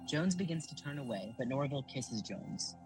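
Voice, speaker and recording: A man's voice: medium pitch, lively intonation, normal speed, lightly acted, rough recording. A man reading stage directions as the narrator of an audio drama, in a scripted narration style. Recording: music under narration.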